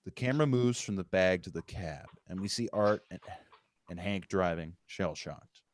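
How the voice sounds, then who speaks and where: A man's voice: deep voice, moderate pace. A man, the writer, reading out screenplay stage directions as narrator at a live table read.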